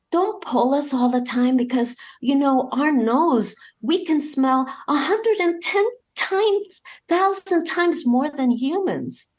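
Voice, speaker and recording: A woman's voice: high-pitched, a little mellow, pitched above the performer's own voice. A woman voicing a friendly, earnest female rescue pit bull who speaks in a sweet, pleading, kindly way.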